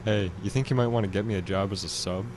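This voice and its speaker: Deadpan, low-voiced slacker antihero played by a man. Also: fast talker, a little hiss or hum, natural voice. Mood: casual. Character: deadpan baby-eating male antihero slacker. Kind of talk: comic radio-play acting.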